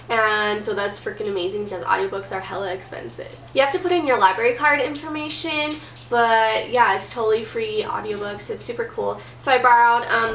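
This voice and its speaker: A woman's voice: a little high, a bit bright. A young woman in her twenties with a stuffy cold, chatting casually about books from her bedroom, with lots of "like" and "um". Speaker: book vlogger. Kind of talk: casual chat. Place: bedroom, indoors. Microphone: camera mic at a distance. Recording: roomy, a bit distant, faint hiss.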